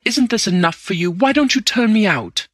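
A man voicing a desperate young gentleman who has lost everything, pleading and anguished. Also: on the high side, drawn-out words, vocal fry, no echo, clean studio sound, exaggerated voice.

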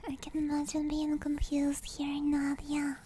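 A young woman whispering breathily and very close to the mic, chatting casually and playfully as an ASMR streamer, with some relaxed swearing. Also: high-pitched, normal speed, slight background noise. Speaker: ASMR streamer hosting a live hangout. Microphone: binaural 3Dio ASMR microphone, very close.

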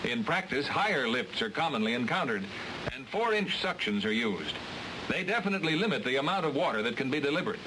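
A man's voice: mid-range voice, sing-song, brisk. A man giving scripted, matter-of-fact instructional narration for a training film. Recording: hissy old film transfer.

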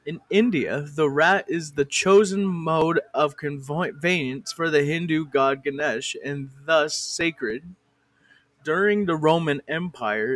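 Slow, mock-educational parody narrator. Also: male voice, fairly high pitch, fairly bright tone, no echo. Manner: mock-educational, swears and breaks off to argue with a director. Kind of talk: comic fan parody narration.

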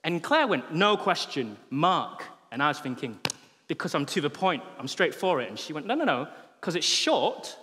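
A man's voice: on the high side, normal speed, background noise. A man in his thirties, a pastor, preaching a sermon in a conversational, humorous way on stage in a large church hall, through a headset mic. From England. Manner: conversational and humorous, with animated gestures. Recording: long hall reverb.

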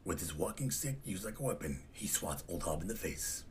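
A man's voice: low-pitched, lively intonation, brisk, a little hiss or hum. A man reading scripted, descriptive narration for a comic dub.

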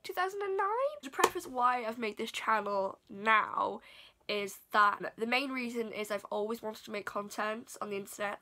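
A woman's voice: on the high side, pushed and bright. A South Wales woman in her twenties, chatting bubbly and self-deprecating in a casual bedroom vlog, filmed on a camera at desk distance. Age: 20s. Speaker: vlogger. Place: small bedroom indoors.

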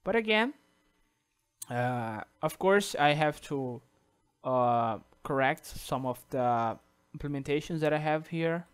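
Male tutor, native Portuguese speaker, casual halting delivery with frequent "okay?", mid-high voice. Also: animated, clean tone. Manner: halting, many restarts and "uh", tags sentences with "okay?". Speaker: programming tutor. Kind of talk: casual screen-recorded coding tutorial.

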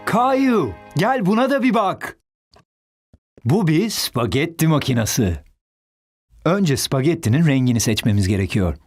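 A cartoon father with a very animated, swooping delivery. He is patient and cheerful, explaining things playfully over soft background music. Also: fairly high pitch, unhurried, long pauses. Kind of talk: dubbed children's cartoon dialogue.

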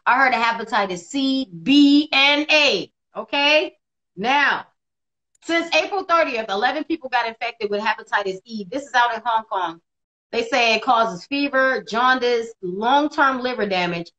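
A woman in her thirties co-hosting a casual live video chat from home, animated and quick to laugh, on a phone or laptop mic. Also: a little high, poor audio. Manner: animated, laughs often, bright delivery. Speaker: co-host. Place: indoors at home. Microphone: phone or laptop camera on video call.

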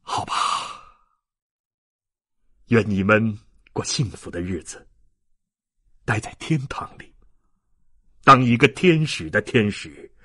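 A man voicing an anguished, weary old ex-convict with dignity in a dramatic, halting audiobook reading. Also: fairly low pitch, slightly rough, no room sound, studio quality, pitched below the performer's own voice, heavily acted.

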